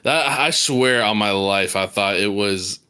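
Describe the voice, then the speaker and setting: A man in his thirties, a podcast guest, laid-back and quick to laugh, calling others dude. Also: on the low side, pushed and bright, clean tone. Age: 30s. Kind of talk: casual chat.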